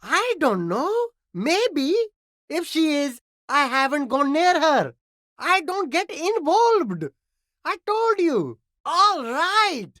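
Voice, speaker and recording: An actor playing an immigrant street vendor in a video game, an eager, defensive hustler with ingratiating, evasive sales patter. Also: male voice, very high voice, very animated, takes it slowly, sharp tone, no room sound, studio quality, full character voice. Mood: nervous, pleading. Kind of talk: video game character dialogue.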